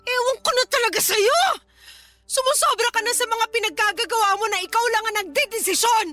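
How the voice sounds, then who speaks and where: A hurt and fiery young Filipino girlfriend in a radio drama. Her voice is extremely high and sharp, shrill with accusation and emotion. Light music sits underneath. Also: brisk, dry recording. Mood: indignant, angry.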